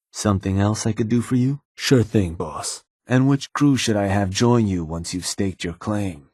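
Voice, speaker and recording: Casting: a laid-back raider gang fixer for a game, low voice, dry and matter-of-fact. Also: male voice, darkish tone, slightly rough, dry recording. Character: laid-back male raider fixer serving his boss. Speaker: gang fixer taking orders. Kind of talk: video game dialogue.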